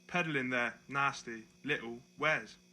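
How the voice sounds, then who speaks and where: A man's voice: medium pitch, a little slow, bright, forceful tone. A man playing a mocking, sycophantic detective constable who taunts a prisoner in a dystopian audio drama. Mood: mocking, menacing. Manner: taunting, sarcastic, sycophantic to his boss.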